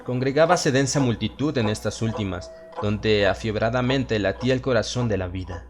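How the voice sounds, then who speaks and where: A man with a Latin American Spanish accent narrating a horror story in a solemn, theatrical manner with dramatic pauses. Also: fairly low pitch. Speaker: audiobook narrator. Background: music and sound effects.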